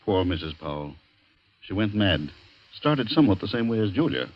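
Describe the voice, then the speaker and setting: An actor playing a middle-aged guardian, polite but disapproving and insinuating, in 1940s radio drama. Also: male voice, on the low side, big pitch swings, normal speed, a little hiss or hum. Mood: grave, disapproving. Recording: dull vintage radio recording.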